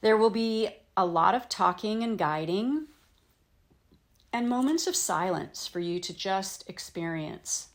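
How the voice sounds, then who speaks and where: A woman teaching yoga nidra, leading a guided meditation in gentle, soothing phrases with frequent pauses. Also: mid-range voice, lively intonation. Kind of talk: guided meditation, slow instruction with long pauses.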